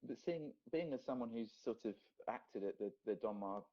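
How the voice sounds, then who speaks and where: A man's voice: mid-range voice, animated, moderate pace, mellow. A man, an actor guest chatting casually and jokingly about old memories on a live video call. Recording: slightly dull livestream call audio.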